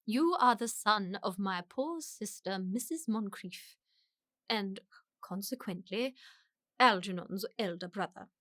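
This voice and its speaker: A woman's voice: medium pitch, slow, fairly bright tone, no echo, studio quality. A woman playing an imperious, disapproving older aristocratic aunt in a period radio comedy, with a pompous, commanding manner. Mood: haughty, grave.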